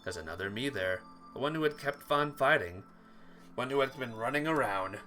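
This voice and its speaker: A man's voice: mid-range voice, lively intonation, moderate pace, bright, forceful tone, faint music behind. A man voicing a hot-blooded young male brawler, brooding and combative, in a theatrical, emphatic read-aloud of a visual novel.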